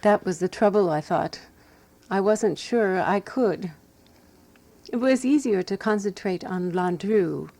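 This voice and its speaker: An actress playing an exasperated, devoted woman companion who narrates a radio drama murder mystery in a measured, wry way. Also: medium pitch, a little mellow, no echo. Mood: uneasy.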